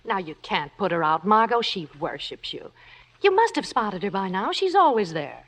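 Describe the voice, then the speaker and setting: An actress playing a kindly, sociable wife of a playwright in an old radio drama. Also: female voice, mid-range voice, big pitch swings, moderate pace, fairly bright tone. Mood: coaxing. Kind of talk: 1940s-50s radio drama acting. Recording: old radio broadcast recording.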